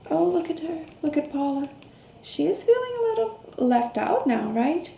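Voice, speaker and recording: A woman reading a picture book aloud to children, expressive, with questions, gasps, hums and hushed asides. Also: sits high, a little slow, gravelly. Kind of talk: interactive children's read-aloud with questions to young listeners. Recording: roomy echo, faint background noise.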